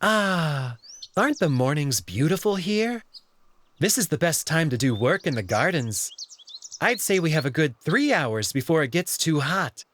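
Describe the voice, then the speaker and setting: Guy doing a polite young alien servant boy for a visual novel, kinda high voice, lilts a lot, gently candid. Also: very animated, unhurried, slight background noise. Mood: pleasant, explaining. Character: polite, smiling young male alien pet servant, discreet and perceptive. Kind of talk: visual novel character read-through.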